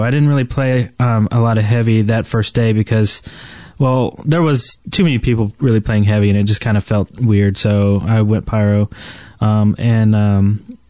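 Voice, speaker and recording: A man's voice: mid-range voice, a little mellow, no echo. A man co-hosting a casual gaming podcast, recalling things in a hesitant, rambling way. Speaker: podcast co-host.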